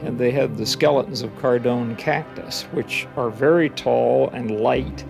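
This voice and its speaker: A man's voice: mid-range voice, unhurried, gravelly. A middle-aged man, a historian, explaining his research in a thoughtful interview with occasional fillers. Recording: voice under background music.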